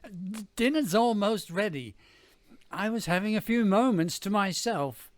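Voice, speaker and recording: A man playing a meek, gentle, henpecked greengrocer in a radio play, speaking in a mild, hesitant way. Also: high voice, expressive, unhurried, no room sound. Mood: flustered.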